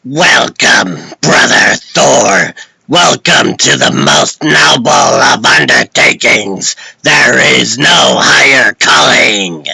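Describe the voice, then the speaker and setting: A man playing a formal senior warrior in a comic dub, with a harsh gravelly rasp and pompous, ceremonial phrasing. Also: mid-range voice, animated, slow pace, bright, forceful tone, no room sound, heavily acted. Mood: formal, lofty. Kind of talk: theatrical comic dub acting.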